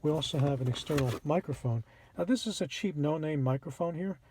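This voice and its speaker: A man hosting a casual how-to demonstration, explaining things plainly and step by step with a husky voice. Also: fairly high pitch, fairly quick, a little mellow, slight background noise. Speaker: host of a radio how-to channel. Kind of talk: casual instructional product demonstration.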